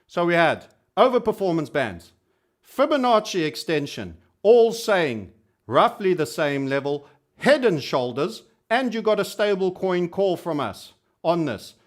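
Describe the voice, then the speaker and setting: A man's voice: high voice, big pitch swings, measured pace. A man hosting an informal online trading commentary, speaking emphatically and repeating phrases for stress, with a cheeky, colourful way of putting things. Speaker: YouTube trading host.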